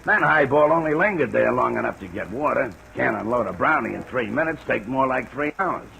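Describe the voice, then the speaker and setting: An actor playing an aging, gruff railroad detective in a radio drama, with a gravelly voice, blunt folksy manner and thick railroad slang. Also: male voice, medium pitch, expressive, fairly quick, sharp tone, full character voice. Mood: matter-of-fact. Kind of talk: old-time radio drama acting. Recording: noisy, hissy old radio recording.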